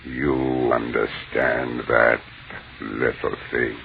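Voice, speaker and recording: An actor playing an ancient, contemptuous, menacing alien mind in old-time radio horror, speaking in slow, pompous pronouncements. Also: male voice, rumbling low, little pitch movement, creaky, heavily acted. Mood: menacing, hungry. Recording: muffled, narrow-band old radio broadcast with hiss.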